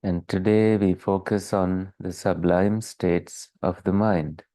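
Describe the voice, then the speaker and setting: Slow, low-voiced man guiding meditation. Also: no echo, clean studio sound. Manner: calm, measured, with long pauses between short phrases. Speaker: meditation teacher leading a livestream. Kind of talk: guided meditation instruction.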